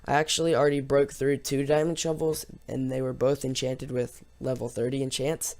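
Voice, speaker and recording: A man with a slightly hoarse voice giving casual, rambling, unscripted let's play commentary as host of a gaming video. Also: mid-range voice, flat delivery, moderate pace, darkish tone, a little hiss or hum. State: slightly hoarse after losing voice from yelling.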